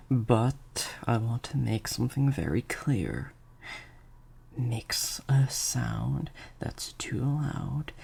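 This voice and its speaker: A man plays a sadistic male vampire master in an intimate audio roleplay. His voice is gravelly and very husky, often sinking into half-whispers. He swings widely in pitch as he taunts his captive with teasing, condescending menace. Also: heavily acted. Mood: stern, threatening. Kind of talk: improvised audio roleplay addressed to the listener.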